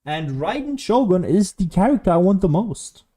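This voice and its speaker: A man giving casual solo gameplay commentary as a streamer, rambling and thinking out loud as he goes. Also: high-pitched, big pitch swings, normal speed, husky. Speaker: gaming streamer.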